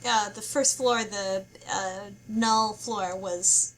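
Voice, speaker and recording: A woman talking casually as a podcast panelist, often pausing to search for her words. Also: mid-range voice, steady tone, a bit bright, a little hiss or hum, rough recording. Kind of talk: casual book club discussion.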